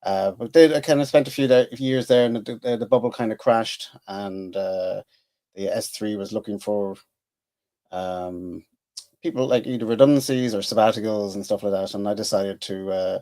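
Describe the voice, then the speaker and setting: A man's voice: fairly low pitch, normal speed, warm tone. A man in his forties with an Irish accent, telling his career story casually on a podcast, with many fillers and restarts. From Ireland. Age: 40s. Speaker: podcast guest, engineer.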